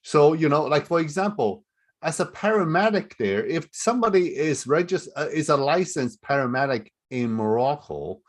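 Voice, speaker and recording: Man, moderator, chatty, halting restarts, slightly high voice, video call. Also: lively intonation. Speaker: forum moderator. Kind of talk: moderating a live webinar Q&A.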